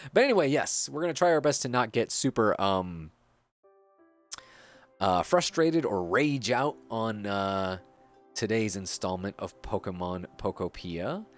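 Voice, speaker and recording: A man's voice: on the low side, animated, measured pace. A man in casual chat as a gaming streamer, rambling and searching for words, sounding a little stuffy, on a dynamic streaming mic at a home setup. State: slightly stuffy. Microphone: dynamic streaming microphone. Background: faint background music.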